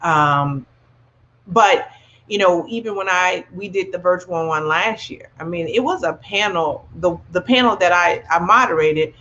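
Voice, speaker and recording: Lady guest giving her take in a casual chat, punchy bright voice, kinda lo-fi dull audio. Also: on the low side, a little slow. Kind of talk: casual panel discussion.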